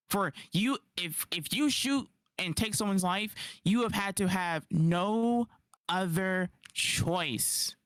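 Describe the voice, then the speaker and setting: A man doing casual livestream reaction commentary, incredulous and quick to rebut, on a close mic. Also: sits high, no echo. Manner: animated, incredulous, rapid rebuttals.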